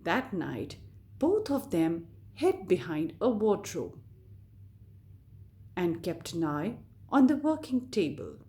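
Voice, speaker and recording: A woman storyteller reading a scripted fairy tale to children in a deliberate, expressive way. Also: lowish voice. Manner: deliberate, expressive read-aloud delivery addressed to children.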